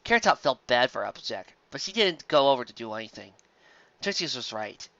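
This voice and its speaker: A story reader with a bright, forceful voice, reading a pony fan story aloud in a lively, expressive way. The sound is dull and lo-fi, and the room is dry. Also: medium pitch, fairly quick, no echo.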